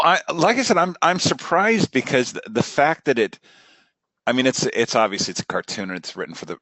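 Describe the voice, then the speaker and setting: A man's voice: fairly low pitch, a bit bright, dry recording. A man giving casual, conversational reaction commentary as he watches along.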